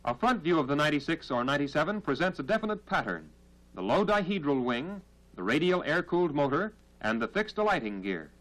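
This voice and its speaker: An American man narrates a military training film from the 1940s. His voice sits fairly high and moves through animated rises and falls. He delivers formal, measured instruction, heard on a vintage film soundtrack. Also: low-quality recording.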